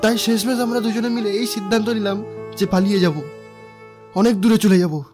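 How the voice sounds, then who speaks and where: A man's voice: sits high, steady tone, brisk, darkish tone, natural voice. A desperate young lover on the run voiced by a man begs for shelter in a melodramatic cartoon style. The delivery is urgent and repetitive, with music underneath. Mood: desperate, pleading. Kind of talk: melodramatic animated cartoon dialogue.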